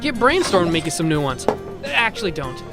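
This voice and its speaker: A man's voice: high-pitched, very animated, brisk, over music. A man playing a chatty, mischievous robot bartender and prankster in an audio drama, flippant and rambling. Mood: gleeful.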